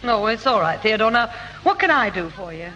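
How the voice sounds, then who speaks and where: A woman's voice: mid-range voice, big pitch swings, a little fast, sharp tone, lo-fi sound. A woman playing a harried, short-tempered mother with a Northern English accent in a 1960s British radio sitcom. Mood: weary. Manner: scolding, harried. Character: exasperated, short-tempered working-class mother. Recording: faintly noisy 1960s radio broadcast.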